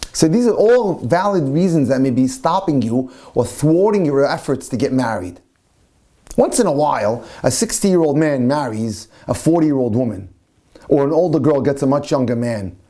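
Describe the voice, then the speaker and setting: A man's voice: fairly high pitch, warm tone. A man, a rabbi and lecturer, giving an earnest talk on dating and marriage and asking pointed rhetorical questions. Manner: reads out listed examples one after another.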